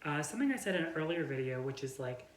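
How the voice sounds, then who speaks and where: A woman co-hosting a casual book vlog, talking in a halting way sprinkled with "like". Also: low voice, animated, slight background noise. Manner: halting, filler-heavy with frequent "like". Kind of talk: casual co-hosted chat about books. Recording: roomy, echoey home recording.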